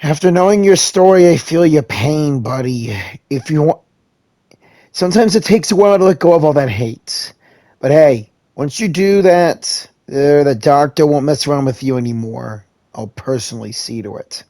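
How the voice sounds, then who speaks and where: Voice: medium pitch, normal speed, mellow, dry recording. Offhand streamer commenting on a game. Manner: offhand, chatty asides. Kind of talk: casual playthrough commentary.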